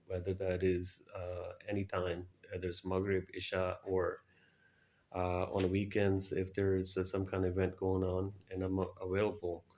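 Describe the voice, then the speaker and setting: Middle-aged man, low and even-toned. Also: a little hiss or hum. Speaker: guest, air traffic controller. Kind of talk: interview answers, recounting experiences.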